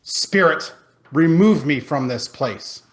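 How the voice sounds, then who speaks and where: Guy doing an agitated old miser from a storybook, emphatic, defensive, voice pushed up and loud. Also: on the high side, lively intonation, slow, voice pushed up. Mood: anguished pleading. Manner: emphatic, insistent. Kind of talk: storybook reading.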